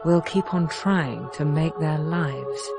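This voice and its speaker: A noblewoman ruler, game cutscene narration, solemn, measured, earnest hope, clear musical score behind. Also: deep voice, full character voice. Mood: hopeful, resolute. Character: grave, hopeful noblewoman ruler rebuilding her war-torn land. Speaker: campaign narrator. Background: background music.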